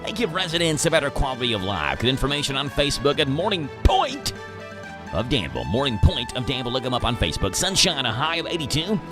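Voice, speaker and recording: A man's voice: medium pitch, wildly swooping pitch, fast. A man with a Kentucky accent hosting a morning radio show, reading ads and weather in playful, sing-song patter. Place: radio studio. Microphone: studio mic. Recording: radio broadcast with music bed.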